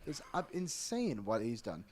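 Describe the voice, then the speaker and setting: A man hosting a sports podcast, chatting casually and reading out betting odds in a lively way. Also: medium pitch, normal speed. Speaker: podcast host.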